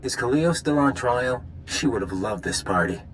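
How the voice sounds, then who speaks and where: A man playing a suave, idealistic conspirator villain in a video game, smooth and theatrical in his talk. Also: mid-range voice, lively intonation, normal speed, slight background noise, lightly acted, rough recording. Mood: cordial, wry. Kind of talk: video game dramatic acting.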